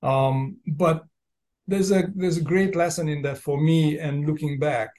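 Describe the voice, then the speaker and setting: A man's voice: fairly high pitch, steady tone, measured pace, darkish tone. Hungarian-accented man telling his story. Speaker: podcast guest, entrepreneur and business coach. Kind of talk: casual personal storytelling in an interview.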